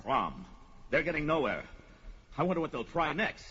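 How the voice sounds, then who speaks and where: An actor playing a brawny young barbarian warrior in an audio fantasy drama, blunt and heroic. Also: male voice, on the high side, sing-song, normal speed, a crowd in the background. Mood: watchful. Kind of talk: audio sword-and-sorcery drama acting. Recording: dull lo-fi audio with music.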